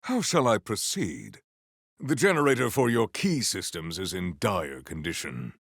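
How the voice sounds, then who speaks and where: An actor playing a solemn, honor-bound warrior-monk in a video game, speaking in a formal, stately, theatrical way. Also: male voice, on the low side, big pitch swings, a little slow, a bit bright, slightly rough, no echo, studio quality, full character voice. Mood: formal, steady. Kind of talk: scripted video game dialogue.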